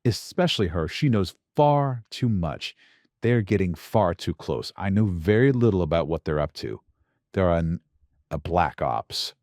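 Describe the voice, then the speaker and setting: A calculating, secretly villainous intelligence director acted by a man in an audio drama. The voice is low and very dry. He lays out his thoughts in short, deliberate phrases. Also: animated, darkish tone, no echo. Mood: calculating, grave. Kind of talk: radio drama dialogue on a phone call.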